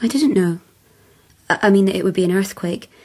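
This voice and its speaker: An actress playing an uncanny, guileless feral girl in a radio drama, breathy, with simple, halting speech. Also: mid-range voice, a little mellow, slight background noise, lightly acted. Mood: calm, halting.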